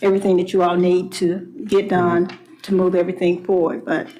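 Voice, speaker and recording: Woman chairing a meeting, halting. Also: fairly low pitch, completely flat delivery, mellow, a little hiss or hum. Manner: halting, many fillers. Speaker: board chair.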